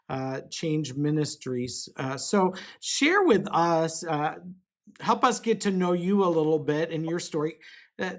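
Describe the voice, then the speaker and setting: A man hosting a church podcast, welcoming his guest in a friendly way with frequent filler words. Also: a little high. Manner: friendly, filler-heavy, says "uh" often. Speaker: podcast host.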